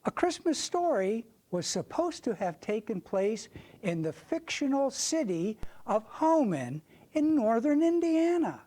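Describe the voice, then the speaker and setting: Slow, expressive older American woman presenting. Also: a little high. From suburban Ohio, United States. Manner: theatrical, deliberate, addresses the audience. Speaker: presenter, readers' theater member. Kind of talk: prepared stage talk, personal reminiscence.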